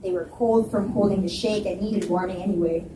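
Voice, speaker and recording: A woman narrating a novel in the first person at a live library reading, lively and expressive. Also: medium pitch, a little fast. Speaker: reader and narrator. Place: library event space. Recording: roomy, distant mic with faint hiss.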